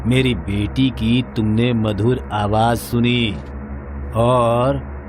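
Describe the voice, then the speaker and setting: A man playing a greedy, pushy, excitable village father in a cartoon moral story. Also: mid-range voice, drawn-out words, noisy background. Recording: music under the voice.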